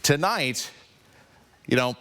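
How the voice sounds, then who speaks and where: A man with a deep voice giving a relaxed, conversational live weather forecast on morning TV. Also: slow, clean tone. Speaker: TV meteorologist. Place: TV news studio.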